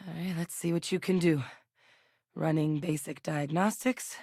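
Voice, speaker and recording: A woman voice actress playing a determined, principled soldier-scientist in a space adventure video game, speaking earnestly and conversationally with military briskness. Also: low voice, fairly even intonation, a little mellow, no room sound, studio quality. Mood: focused, measured. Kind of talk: acted video game dialogue.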